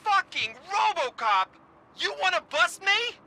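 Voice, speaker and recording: A man's voice: very high voice, sing-song, unhurried, pushed and bright, full character voice. A man playing a jumpy, irritable street drug dealer in a video game, brash and agitated in his talk. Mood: angry.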